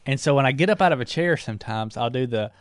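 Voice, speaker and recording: A man in his forties co-hosting a casual talk show, joking and easygoing, quick to laugh. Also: mid-range voice, lively intonation, no room sound, studio quality. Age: 40s. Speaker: co-host. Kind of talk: casual chat.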